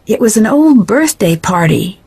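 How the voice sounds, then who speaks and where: An actress playing an earnest, persistent young detective in a video game, speaking in a plain, questioning way. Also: female voice, mid-range voice, very animated, a little slow, warm tone, slightly rough, no room sound, heavily acted. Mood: concerned. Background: background music.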